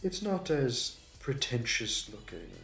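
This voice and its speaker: A man's voice: medium pitch, big pitch swings, fairly bright tone. A man playing a suave, smug supervillain in an audio roleplay, with a mocking, flirty, theatrical delivery. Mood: mocking, amused. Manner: theatrical, taunting, drawn-out delivery. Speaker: voice actor. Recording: roomy echo, distant mic, music behind.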